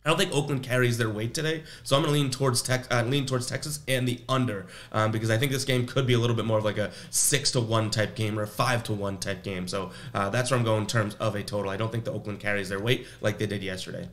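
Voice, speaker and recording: A young man hosting a sports betting picks show, talking casually and rambling a bit, his voice slightly raspy as he comes down with something. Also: on the low side, fairly even intonation, fast, hardly any pauses, fairly bright tone. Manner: rambling, conversational, self-questioning.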